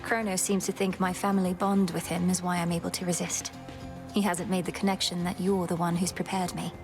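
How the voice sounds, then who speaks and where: A woman's voice: lowish voice, level tone, fairly quick, music in the background, natural voice. A woman playing an earnest, determined young heroine in a video game, sincere and respectful. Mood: resolute. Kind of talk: video game character acting.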